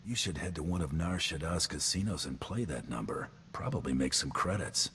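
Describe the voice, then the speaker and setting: A man voicing a cocky, deadpan bounty hunter in a video game, dry and self-assured. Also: extremely low pitch, moderate pace, slight background noise.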